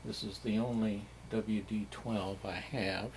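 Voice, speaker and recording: A man giving a casual, halting, plain-spoken demonstration as a hobbyist narrator. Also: fairly low pitch, steady tone, slight background noise, poor audio. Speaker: vintage radio collector demonstrating.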